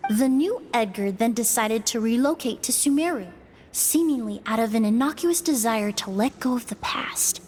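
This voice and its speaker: A woman voicing a sharp, analytical young female investigator in a game, explaining in a calm, measured way. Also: on the high side, soft background music, natural voice. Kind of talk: voiced game dialogue.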